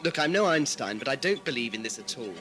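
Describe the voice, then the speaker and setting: A man acting as a British schoolboy in a sci-fi audio play, music faintly behind. His pitch is middling and moves about a lot. He is polite, hesitant and drily sceptical. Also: lively intonation, quick pace, close to an everyday voice. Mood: doubtful. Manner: hesitant, dryly sarcastic. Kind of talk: amateur audio drama acting. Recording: music under dialogue, home-made cassette drama.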